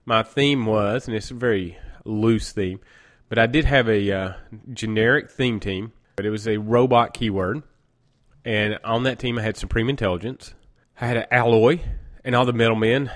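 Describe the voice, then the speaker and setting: A man's voice: lowish voice, fairly even intonation, moderate pace. A man with a cold and a stuffy nose, hosting a gaming podcast in a chatty, rambling way and often correcting himself. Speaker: podcast host. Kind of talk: casual gaming podcast monologue.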